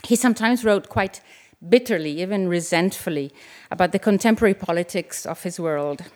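Woman, Italian native speaker, reads own essay, measured, reflective, lowish pitch. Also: a little mellow. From Italy. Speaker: novelist reading her essay. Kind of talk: reading an essay aloud at a live event. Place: event venue with an audience.

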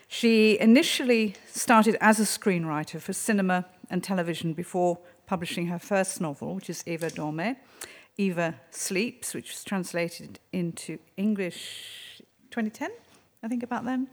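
A woman's voice: mid-range voice, long reverb tail. A woman hosting a literary event, introducing the guest in an effusive way with many ums and restarts. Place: event venue with an audience.

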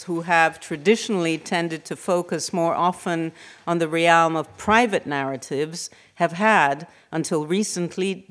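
Low-voiced woman reading a lecture. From Hungary. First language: Hungarian. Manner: measured, formal delivery. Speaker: writer and academic speaker.

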